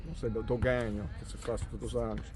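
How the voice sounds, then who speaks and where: A man's voice: medium pitch, flat delivery, fast, darkish tone. An Italian man, a native Italian speaker with an Italian accent, chatting as an interview guest and often repeating words while he searches for them. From Italy. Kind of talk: casual conversation.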